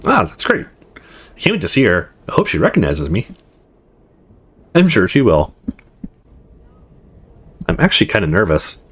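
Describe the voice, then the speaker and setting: A man's voice: lowish voice, huge pitch swings, moderate pace, pauses often, fairly bright tone, slightly rough, full character voice. A man playing an absent-minded, easygoing young male student in a visual novel, with a casual manner. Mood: eager, nervous.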